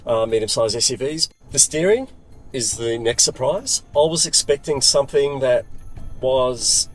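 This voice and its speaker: A man's voice: medium pitch, low-quality recording. A middle-aged man reviewing a car in a conversational, emphatic way with dry humour. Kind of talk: car review presented to camera.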